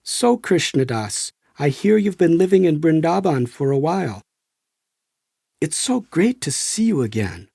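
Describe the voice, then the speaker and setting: A man voicing a friendly, enthusiastic American devotee in audiobook dialogue, with a warm, animated, admiring manner. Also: a little high, a little slow, vocal fry, breathy edge, no room sound, clean studio sound, heavily acted. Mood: friendly greeting.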